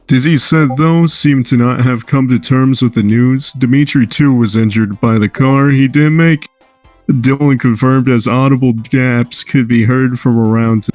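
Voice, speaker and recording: A man's voice: mid-range voice, steady tone, measured pace, darkish tone, no echo, soft background music. A man casually reading a story aloud, breaking off now and then to chat and swear. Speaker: amateur fan fiction reader.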